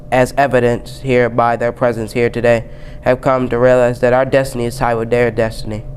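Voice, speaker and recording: A male student reads a speech aloud at a middling pitch, with very little melodic movement. There is faint noise on the amateur recording. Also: darkish tone. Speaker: student reader. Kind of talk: reading a famous speech aloud.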